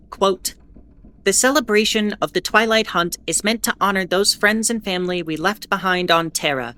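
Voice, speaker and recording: A woman's voice: mid-range voice, fairly bright tone, close to an everyday voice. A woman playing a wry, defiant bulletin host aboard a spaceship, narrating a scripted audio drama in a conversational, explanatory way. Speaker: host of an in-world radio bulletin.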